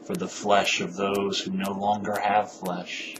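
Young male poet, low and very slow, brooding overwrought recitation, noisy amateur recording. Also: flat delivery. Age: young adult. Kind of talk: solemn dramatic poetry recitation. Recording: noisy amateur video playback.

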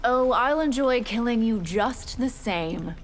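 Haughty vampire, highish voice. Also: female voice, expressive, slow, very noisy. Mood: cool menace. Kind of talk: video game voice acting. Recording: music playing behind.

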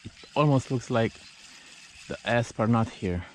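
Male angler vlogger, casual talk, mid pitch, faint outdoor noise. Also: measured pace, low-quality recording. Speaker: angler filming own fishing vlog. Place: outdoors by a river.

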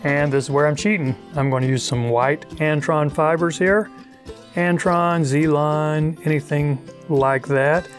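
A middle-aged man narrating a fly tying tutorial in a relaxed, chatty way, thinking aloud as he works. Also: fairly high pitch, a little slow, soft background music. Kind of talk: casual instructional narration. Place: outdoors on a wide river.

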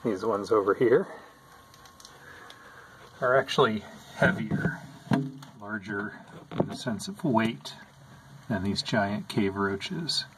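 A man calmly narrating over footage of his pet insect tanks, explaining what they do as he films. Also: fairly low pitch, background noise. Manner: slow, calm, explanatory. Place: indoors at an insect tank.

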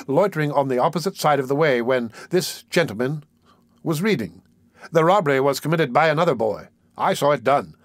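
A man's voice: fairly high pitch, no echo, studio quality. A man voicing a poor, earnest elderly bookstall keeper in an audiobook, out of breath and insistent. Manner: urgent, insistent, pleading.

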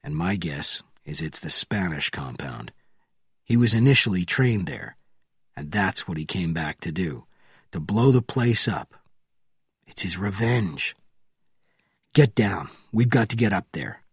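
A man's voice: sits low, lively intonation, measured pace, pauses often, husky, no echo, studio quality, pitched below the performer's own voice, heavily acted. A man voicing a grim, decisive agent in an audiobook thriller, terse and resolute. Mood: grim certainty, then urgent resolve. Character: grim, decisive male agent taking command.

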